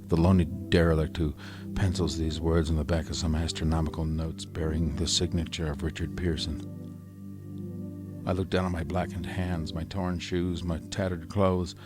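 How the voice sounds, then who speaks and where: A man playing a haunted, lonely professor in a brooding, measured radio drama monologue. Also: sits low, lightly acted. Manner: brooding, measured, searching questions. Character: haunted, lonely male professor, survivor writing notes.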